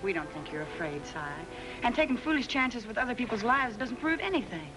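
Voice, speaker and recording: A firm, sensible Western heroine with an American accent. She talks fast and without fuss, over loud music on a 1950s television soundtrack. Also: on the high side, big pitch swings, rough recording. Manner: firm, no-nonsense. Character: brisk, firm, sensible heroine of the Old West.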